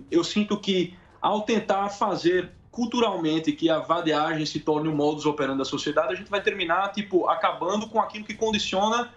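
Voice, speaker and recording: A Brazilian man with a noticeably high voice answers as an interview guest. He talks colloquially and haltingly, often ending phrases with small tags. The audio is slightly dull and muffled. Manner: colloquial, halting, frequent tag questions. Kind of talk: casual interview answers, anecdotes.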